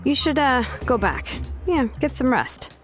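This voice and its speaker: An actress voicing an easygoing, wry young craftswoman in a game dub, talking in a relaxed, dryly teasing way over soft game music. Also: high voice, a little slow. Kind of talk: dubbed game dialogue.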